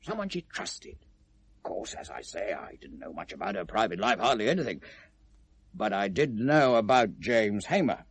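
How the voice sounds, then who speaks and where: An actor playing an evasive, self-justifying British gift-shop owner in 1970s radio drama, talking in a hesitant, defensive, chatty way. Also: male voice, lowish voice, sing-song, moderate pace, a little hiss or hum. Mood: hesitant, insinuating. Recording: vintage radio drama recording.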